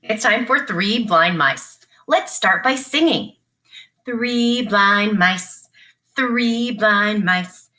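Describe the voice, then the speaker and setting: A woman teacher giving a sing-song read-along of a children's story, with deliberate, repeated phrasing. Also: mid-range voice, bright, forceful tone. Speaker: teacher reading aloud.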